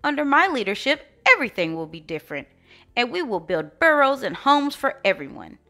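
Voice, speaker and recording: A woman's voice: high-pitched, very animated, brisk, sharp tone, soft background music, pitched above the performer's own voice, exaggerated voice. A woman voicing an indignant, rabble-rousing monkey candidate in a storybook, punchy and emphatic. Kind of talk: storybook read-aloud.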